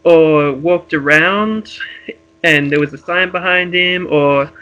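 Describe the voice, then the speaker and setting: Bright, higher-pitched rambling man. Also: slow, clean tone, lo-fi sound. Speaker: podcast guest. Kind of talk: casual chat.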